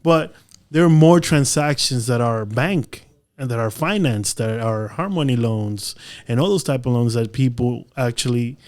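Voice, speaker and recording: A man's voice: medium pitch, normal speed, darkish tone. Casual male podcast host, mid-range voice. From Dominican Republic. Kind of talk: casual interview chat.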